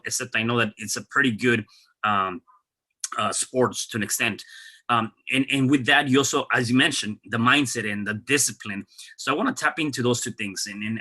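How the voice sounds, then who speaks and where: A man's voice: mid-range voice, pushed and bright. A man hosting a podcast interview, conversational, with many fillers and repeated words. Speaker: podcast host.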